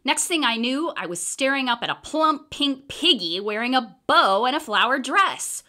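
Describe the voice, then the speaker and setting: A woman reads a children's story aloud. Her voice is bright and forceful, with wide, lively swings in pitch. The delivery is expressive and theatrical. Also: moderate pace. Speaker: storybook reader. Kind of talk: dramatic children's book read-aloud.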